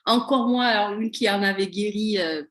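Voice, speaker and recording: A woman's voice: medium pitch, moderate pace, fairly bright tone. A woman interviewing in a casual, conversational way, affirming and empathetic, with emphatic repetition. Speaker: host, coach interviewing a former client.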